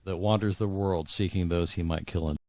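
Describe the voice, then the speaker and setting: A man's voice: sits low, steady tone, brisk, dark tone, no room sound, clean studio sound. A man answering interview questions as an author, conversational and hesitant, often rephrasing as he goes.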